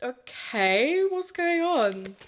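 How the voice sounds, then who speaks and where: An actress playing an earnest, excitable woman who co-hosts an investigative podcast in an audio drama. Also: high voice, drawn-out words, exaggerated voice. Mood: excited. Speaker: podcast co-host.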